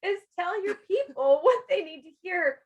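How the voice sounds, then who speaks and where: A woman's voice: extremely high pitch, lively intonation, a little slow, dull audio. A woman co-hosting a podcast in casual conversation, chatty and giggly, often acting out imagined bits of dialogue. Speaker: podcast co-host.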